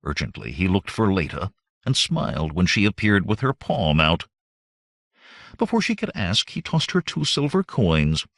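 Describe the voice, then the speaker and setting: A man reading adventure fiction aloud as an audiobook narrator, in measured, expressive storytelling. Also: deep voice, no room sound, clean studio sound.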